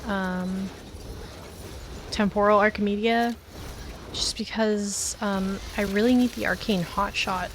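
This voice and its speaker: A woman's voice: mid-range voice, steady tone, slow pace, pauses often. Canadian woman, canadian accent, rambling streamer chat, faint hiss behind. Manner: chatty, rambling, self-deprecating, many fillers; talks while eating. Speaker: game streamer. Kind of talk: casual livestream chat.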